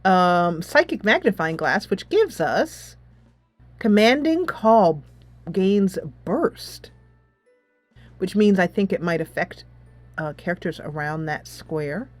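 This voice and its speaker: A woman's voice: lowish voice, expressive, slow pace, no room sound, faint music behind. A woman gaming host giving casual commentary, thinking aloud as she plays a tactics game.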